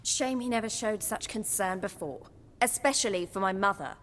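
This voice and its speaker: A woman's voice: medium pitch, normal speed, fairly bright tone, in a large hall, close to an everyday voice. An actress with a British accent playing a bitter, defiant young woman in a dramatic video game. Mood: bitter, sarcastic.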